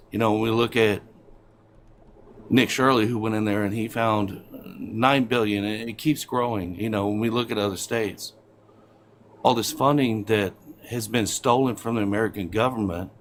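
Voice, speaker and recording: A man in his forties calling in to a political stream, talking haltingly with many fillers. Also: fairly low pitch, slight background noise. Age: 40s. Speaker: caller. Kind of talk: political call-in discussion.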